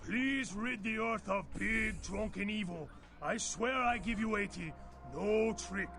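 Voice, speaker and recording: High, creaky old Chinese gangster. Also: male voice, unhurried. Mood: pleading, insistent. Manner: broken English, pleading, insistent. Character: old Chinese hitman, male, pleading, scheming, vengeful. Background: music.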